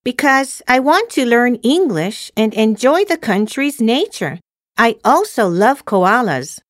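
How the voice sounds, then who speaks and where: Woman acting cheerful high-voiced student girl, slow. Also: very animated, dry recording, studio quality. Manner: carefully enunciated, textbook-like. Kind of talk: scripted English listening-test dialogue.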